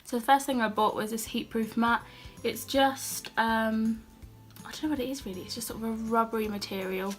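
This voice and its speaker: Young British woman, croaky from a cold, flat and chatty haul-vlog delivery. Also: fairly high pitch, normal speed, faint music behind. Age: young adult. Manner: chatty and self-deprecating, with asides and fillers. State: has a cold, a bit croaky. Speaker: beauty and haul vlogger. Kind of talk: casual haul vlog chat.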